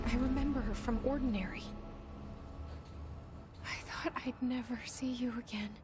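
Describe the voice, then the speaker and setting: A troubled, determined young woman in a game, with a fairly high voice and slow delivery. She speaks in short, intimate phrases over loud music. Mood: urgent, hopeful. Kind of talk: video game voice acting, inner monologue.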